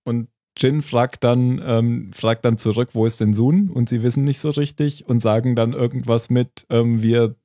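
Man, medium pitch, podcast host, casual recap, hesitant thinking aloud, chuckly, dry recording. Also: steady tone, normal speed, few pauses, dark tone, dry recording. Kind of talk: casual chat recapping a TV series episode.

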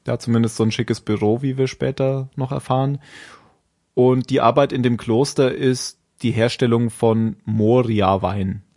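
A man's voice: mid-range voice, fairly even intonation, normal speed, dark tone. Chatty man rambling through a recap. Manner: rambling, self-correcting, with frequent fillers and laughs. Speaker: podcast co-host. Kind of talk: casual chat recapping a TV episode.

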